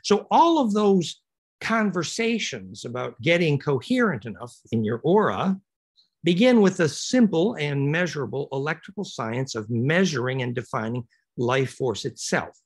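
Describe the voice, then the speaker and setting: A man giving an online lecture on a video call, explaining at length with lots of fillers in a raspy voice. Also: on the high side, moderate pace. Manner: animated, rambling explanation with many fillers.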